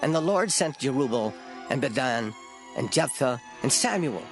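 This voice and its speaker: An actor playing an old, gray-headed prophet, solemn, stern and declamatory, in a dramatized scripture reading. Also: male voice, mid-range voice, expressive, moderate pace, music in the background, lightly acted. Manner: declamatory, grave.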